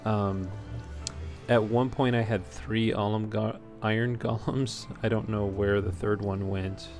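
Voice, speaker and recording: A man's voice: on the low side, fairly even intonation, measured pace, a little mellow, soft background music. A man giving casual, unscripted gameplay commentary as a let's play host, talking in an easygoing, hesitant ramble. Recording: clearly noisy home recording.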